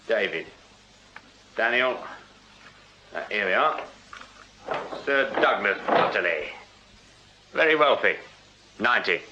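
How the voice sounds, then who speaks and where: A low-voiced, sardonic Victorian police sergeant. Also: male voice, pushed and bright, full character voice. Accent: English. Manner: dry, sardonic, authoritative. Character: dry, dogged Victorian police detective sergeant. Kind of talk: radio drama acting. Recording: muffled radio drama, noisy crowd behind.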